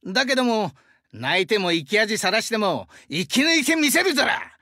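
A male actor voicing a burly, friendly young sumo-wrestler god in an anime game, with rough, hearty speech and a rural Shinshu Japanese dialect. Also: sits high, very animated, normal speed, bright, forceful tone, dry recording, studio quality, full character voice. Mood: gruff, reflective. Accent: rural central Japanese dialect (Shinshu). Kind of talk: anime game character voice lines.

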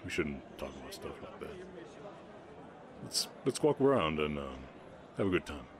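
A man playing a shy, gentle orc cowboy with a slight Southern accent and hesitant delivery in a roleplay audio drama. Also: extremely low pitch, sing-song, normal speed, crowd noise. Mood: gentle, easygoing. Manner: hesitant, stammering, bashful. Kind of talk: roleplay audio drama, soft ASMR acting.

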